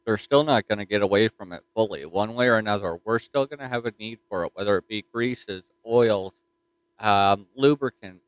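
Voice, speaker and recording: A man's voice: on the low side, moderate pace, smooth, dry recording, rough recording. A man with a Newfoundland accent chatting casually on a ham radio repeater from his home desk mic, folksy and full of fillers. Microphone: desktop mic.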